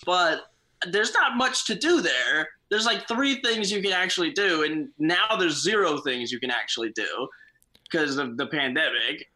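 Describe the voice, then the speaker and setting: A young man from the United States talks as a guest with a very bright voice that swings widely in pitch. He trades deadpan sarcasm and laughs at himself as he goes. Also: low-quality recording. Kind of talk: casual interview chat.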